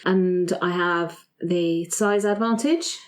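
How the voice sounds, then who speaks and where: A woman's voice: fairly low pitch, takes it slowly. A woman playing a tabletop roleplaying game, casually thinking out her moves and describing them. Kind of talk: casual improvised game play.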